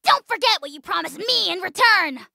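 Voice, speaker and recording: Shrill, gravelly little boastful dragon lord. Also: female voice, lively intonation, moderate pace, bright, forceful tone, no room sound, clean studio sound, full character voice. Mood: grumbling, bossy. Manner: pompous, theatrical, taunting. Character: tiny boastful dragon lord, petulant, self-important, menacing. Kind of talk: video game character voice acting.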